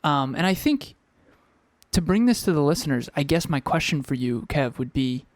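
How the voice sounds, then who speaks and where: Thoughtful male podcast co-host, higher-pitched, dry close sound with a fan in the background. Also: expressive, no room sound. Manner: reflective, fills pauses with um. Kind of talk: casual podcast chat. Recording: dry home recording with a fan running.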